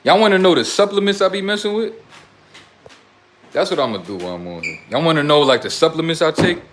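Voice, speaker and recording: A man's voice: fairly high pitch, sing-song, fast, a bit bright, slight background noise. A young man casually livestreaming to his chat, talking in loose streetwise slang, blunt and preachy, rambling from one topic to the next. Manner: rambling, drifts between topics. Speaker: self-styled life coach.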